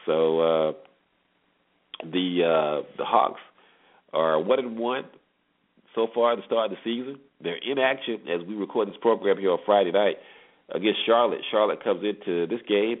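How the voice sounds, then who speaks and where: A man's voice: lowish voice, measured pace. An American man hosting a sports show, giving conversational game previews and commentary, repeating himself for emphasis. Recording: tinny phone-like narrowband sound.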